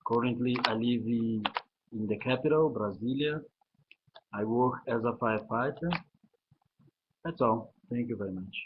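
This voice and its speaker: A man's voice: mid-range voice, moderate pace, pauses often, phone-quality sound, noisy background. A man with a Brazilian Portuguese accent, introducing himself to a class in short, formal sentences. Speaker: student.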